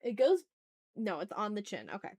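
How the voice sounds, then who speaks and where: A woman's voice: medium pitch, wildly swooping pitch, moderate pace, a little mellow, husky, no room sound, clean studio sound. A woman livestreaming as she draws, chatting casually in slang and muttering to herself with playfully dramatic, exasperated asides. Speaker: art livestreamer, host.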